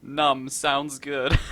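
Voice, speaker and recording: A woman's voice: sits low, a bit bright. A woman doing jokey, teasing let's-play commentary, reading out dialogue choices and reacting to a game. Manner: teasing, jokey, prone to giggling.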